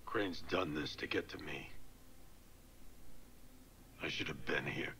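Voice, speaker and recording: An actor playing a weary, anguished older police commissioner in a video game, grave and bitter, with a rough, hoarse voice. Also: male voice, extremely low pitch, takes it slowly, pushed and bright, a little hiss or hum, full character voice. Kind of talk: video game cutscene acting.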